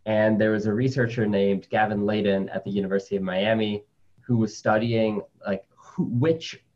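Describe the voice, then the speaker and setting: Chatty lower-voiced man, muffled call audio. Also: fairly even intonation, normal speed, a little mellow. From Colombia, raised in New York City. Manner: chatty, with many "like" fillers, laughs easily. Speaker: interview guest, spider scientist. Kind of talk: casual conversational interview.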